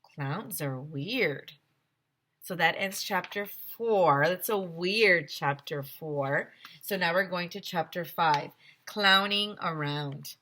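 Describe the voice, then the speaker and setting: Expressive woman reading aloud, slow and bright. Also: fairly low pitch, slight background noise. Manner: deliberate, expressive storytelling. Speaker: teacher reading aloud to class. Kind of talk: animated children's book read-aloud.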